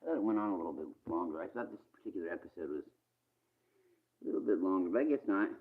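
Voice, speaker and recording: A man's voice: low voice, lively intonation, very fast. A man hosting casually and off the cuff, muttering to himself in a distracted way. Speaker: channel host replaying old radio shows. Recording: thin phone-like sound.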